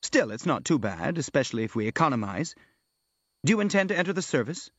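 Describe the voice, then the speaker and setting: A man voicing a bold young woman in an audiobook, brisk, frank and mockingly businesslike in her questioning. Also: medium pitch, very animated, no room sound, lo-fi sound, voice pushed up. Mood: mock practical.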